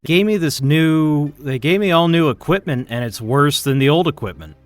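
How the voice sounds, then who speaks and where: A man's voice: a little high, animated, moderate pace. A man giving casual, informal live coding commentary as a streaming programmer, muttering to himself as he works. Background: chiptune-style keygen music.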